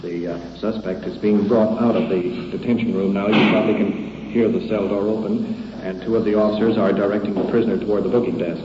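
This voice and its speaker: A low-pitched American man narrates live from the field as a 1950s radio reporter riding with police. He feels his way through each sentence. The old recording is dull and buried in heavy background noise. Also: steady tone, a little fast, hardly any pauses. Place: on location with police, vehicle and ambience noise.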